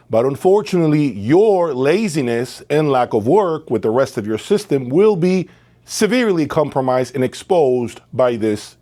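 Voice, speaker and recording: Male reviewer, medium pitch, casual and emphatic, leans on key words by repeating them. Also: a little mellow. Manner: emphatic, repeats phrases for stress. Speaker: host of an audio equipment review channel. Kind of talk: casual product review talk to camera.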